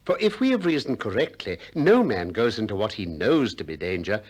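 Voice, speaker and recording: A courteous but relentless philosopher in a radio play. His pitch swings widely through measured, articulate questions. He presses each point with insistent care. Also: very animated, husky. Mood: probing, insistent. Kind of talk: 1960s radio drama acting of philosophical dialogue.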